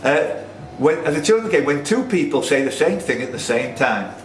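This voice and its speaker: Echoey higher-voiced male quiz host. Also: big pitch swings, moderate pace. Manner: jokey asides between questions. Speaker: quiz host and question setter. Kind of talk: reading out quiz questions to players. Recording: noisy, echoey room recording.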